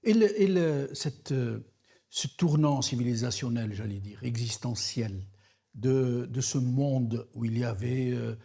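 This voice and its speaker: A man's voice: medium pitch, unhurried, creaky, warm tone. A man interviewing on a political programme, searching for his words and building long, rhetorical questions with repetitions. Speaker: interviewer, TV host.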